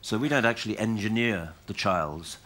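Very deep-voiced middle-aged guy on a panel, calm and explaining. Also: moderate pace. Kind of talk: conference panel discussion. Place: conference hall stage.

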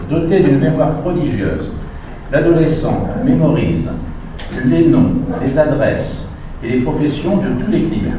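A man delivers a history lecture at a middle pitch, reading his prepared text and stopping now and then to point out his slides. He is recorded from a distance in a very echoey lecture hall with clear background noise. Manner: reads prepared text, adds asides to the audience.

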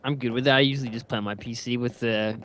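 A man's voice: medium pitch, steady tone, fast, fairly bright tone, a little hiss or hum. A man chatting and teasing his friends while they play a game. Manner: teasing, joking. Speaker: game player. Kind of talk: casual gaming chat.

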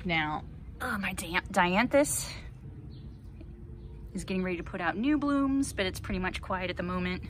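A woman's voice: on the low side, expressive, moderate pace, long pauses. A woman in her thirties giving a casual, chatty garden tour, recorded outdoors on a handheld phone. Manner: chatty, cheerful asides. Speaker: gardening vlogger. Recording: outdoor ambient noise.

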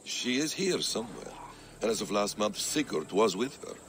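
An actor playing a shrewd, brooding warrior-scholar in a video game, speaking in a measured, sardonic, probing way. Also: male voice, lowish voice, sing-song, a bit bright, slight background noise. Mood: scheming. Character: wry, shrewd, brooding male warrior-scholar, a sly schemer. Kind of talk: video game cutscene acting.

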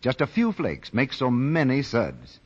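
An American man announcing and pitching for a sponsor in 1940s radio style. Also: huge pitch swings, a little slow. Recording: muffled vintage radio broadcast.

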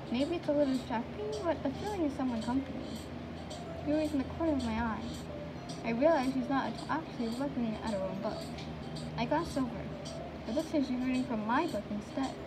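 A woman reading game narration aloud in a casual way, quick and stumbling over words. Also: high voice, animated. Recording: noisy home recording.

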